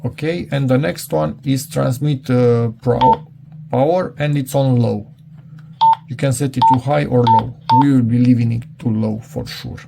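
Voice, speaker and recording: A man's voice: medium pitch, steady tone, a little slow, vocal fry, very noisy. A middle-aged man giving a casual, unscripted product demonstration, hesitant and rambling as he thinks aloud. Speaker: product reviewer.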